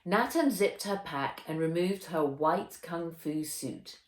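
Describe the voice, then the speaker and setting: A woman reading a children's novel aloud as its author, in a measured, expressive storytelling way. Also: lowish voice. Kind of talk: children's audiobook narration. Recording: roomy echo.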